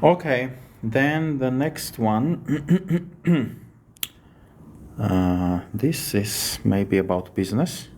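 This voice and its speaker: A man with an Armenian accent, a native Armenian speaker, talks learners through a test screen by screen at a slow, unhurried pace. His pitch sits in the middle range, and his delivery is hesitant, with frequent pauses and checking questions. A faint hiss runs under the recording. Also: a little mellow. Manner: unhurried, hesitant, adds checking tags like "yes?". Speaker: English teacher. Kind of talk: screen-share lesson walkthrough.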